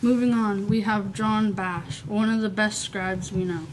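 A woman's voice: mid-range voice, fairly even intonation, unhurried, natural voice. A young woman in an amateur radio play, playing a formal announcer in ancient Athens. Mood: formal, presenting. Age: young adult. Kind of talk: amateur old-fashioned radio play acting. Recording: clearly noisy amateur recording with clicks.

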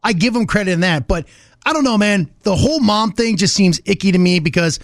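A man co-hosting a radio show in casual, slangy, animated banter. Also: sits high, a little fast, dry recording, studio quality. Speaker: radio co-host.

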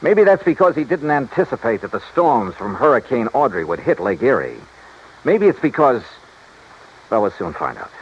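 An actor playing a hard-boiled, sardonic American insurance investigator in a 1950s radio detective drama, with a clipped, pressing delivery. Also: male voice, mid-range voice, sing-song, moderate pace. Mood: sardonic, accusing. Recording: muffled, noisy old radio recording.

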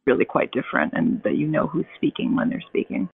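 A woman's voice: extremely low pitch, quick pace, gravelly, husky, no echo. Woman talking over a tinny line. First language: Russian. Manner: chatty, relaxed, many fillers and restarts. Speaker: voice actor and audiobook narrator. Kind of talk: casual interview chat.

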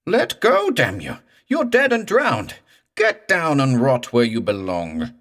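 A man's voice: mid-range voice, huge pitch swings, slow pace, fairly bright tone, pitched above the performer's own voice, exaggerated voice. A man voicing a terrified, frantic man in a horror story, pleading and cursing in a raw, thick voice. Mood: screaming. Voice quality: raw, thick, breaking upward.

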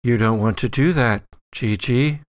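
A man's voice: mid-range voice, a little slow, dry recording, lightly acted. A man voicing a stern, exasperated, sinister disembodied book spirit in a tabletop horror game.

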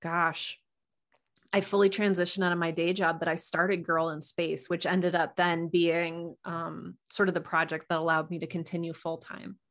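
Slightly low-voiced woman, casual storyteller. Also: flat delivery, normal speed, fairly bright tone. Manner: informal, self-quoting. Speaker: guest writer and podcast producer.

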